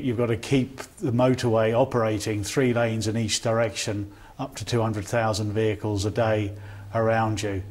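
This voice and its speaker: A man in his fifties, a project director, speaking in an earnest, measured way in a corporate interview. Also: lowish voice. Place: indoor office with display boards. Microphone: lapel mic.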